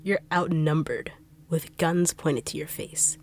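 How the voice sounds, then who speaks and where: A woman voicing a greedy bodyguard turned villain who taunts with cold, quiet menace. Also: low voice, takes it slowly. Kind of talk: audio roleplay acting.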